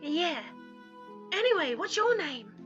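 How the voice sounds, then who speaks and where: A very high, lively boy's voice performed by a woman, bold, friendly and childlike, for a lion-like young royal in a fantasy story. The tone is bright and eager. It sits in a dull lo-fi recording with music behind it. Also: slow pace, exaggerated voice.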